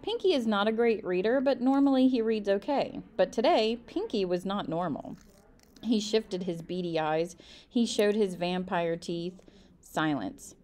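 A woman reads a children's book aloud in an expressive, emphatic storytelling style. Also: mid-range voice, unhurried. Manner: animated, emphatic delivery.

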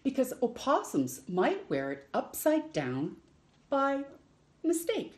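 A middle-aged woman hosting storytime, reading to children in an expressive, drawn-out way with playful emphasis. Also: sits high. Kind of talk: reading a picture book aloud to children. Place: indoors in a home room.

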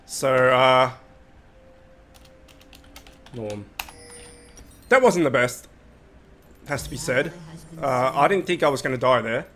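A young man hosting a casual gaming commentary from his desk, nasal from a cold. He talks in a relaxed, chatty way with plenty of fillers. His tone is bright, with faint noise in the background. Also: mid-range voice, slow pace, pauses often. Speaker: gaming video host.

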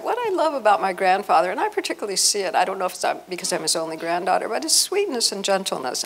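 An older American woman giving a halting, informal talk to an audience through a handheld microphone. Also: medium pitch, gravelly, a little hiss or hum, poor audio. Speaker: event speaker. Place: hotel meeting room.

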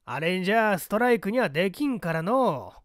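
A man voicing a pompous, brisk older government chief and bluff father with old-fashioned gentlemanly speech in an audiobook story. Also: high voice, sing-song, dry recording, clean studio sound, pitched above the performer's own voice. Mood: jovial, blustering.

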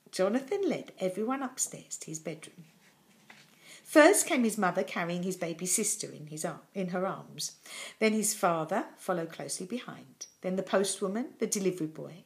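Animated older woman reading a storybook. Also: lowish voice, creaky. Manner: animated, dramatic, with deliberate pauses. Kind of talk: expressive children's storybook reading. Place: small room at home, indoors.

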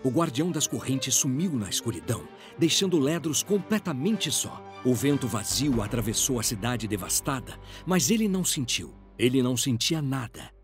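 A Brazilian man narrates a fantasy audio drama. His delivery is dramatic and scripted, with a widely varied pitch in a mid register. Music plays clearly behind him. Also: very animated, normal speed, hardly any pauses.

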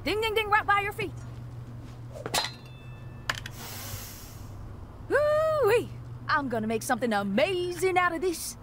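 A woman's voice: very high-pitched, big pitch swings, moderate pace, slight background noise, heavily acted. A woman playing a brash, sassy young gunsmith and inventor in a video game cutscene, boastful and swaggering. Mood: brash, excited. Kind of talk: English-language video game cutscene acting. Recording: loud music behind the voice.

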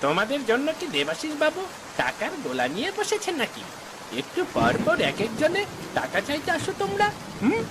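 A woman's voice: high voice, wildly swooping pitch, brisk, very noisy. A woman voicing a mocking, fawning lackey of a rich village landlord in a cartoon. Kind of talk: Bengali fairy-tale cartoon dialogue.

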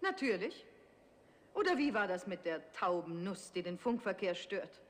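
An actress in her thirties playing a proud, sharp-tongued security lieutenant, crisp and formal, in 1960s TV drama style. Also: mid-range voice, big pitch swings, normal speed, close to an everyday voice. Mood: reproachful.

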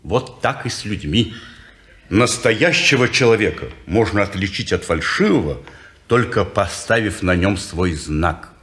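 A bitter, world-weary man in an old radio drama. His low voice moves very slowly and haltingly, guarded and brooding, in an echoey recording. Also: lively intonation, a bit bright. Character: weary, bitter, reserved man who distrusts people. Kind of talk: 1950s Soviet radio play acting.

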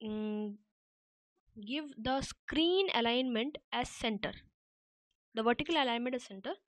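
Female tutorial presenter, high voice, halting self-correcting delivery, dry close-miked sound. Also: expressive, no echo. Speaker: app-building tutorial presenter. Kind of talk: unscripted step-by-step screen tutorial.

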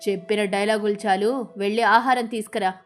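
A woman's voice: medium pitch, steady tone, brisk, soft background music, natural voice. A woman as an emphatic, lazy male eagle. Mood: demanding, hungry. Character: lazy, demanding male eagle living in a cave. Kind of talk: animated storybook dialogue.

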